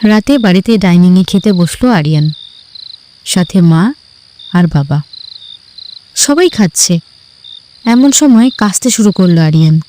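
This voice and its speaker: A woman's voice: fairly low pitch, lively intonation, unhurried, long pauses, dark tone, slight background noise. A woman reading scripted narration for an audio love story.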